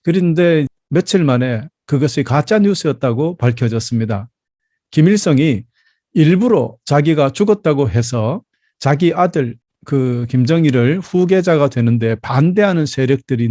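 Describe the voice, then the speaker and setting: A man in his fifties from South Korea preaching a Sunday sermon as a pastor, telling personal stories in a measured, explanatory way. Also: medium pitch, mellow, dry recording. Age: 50s.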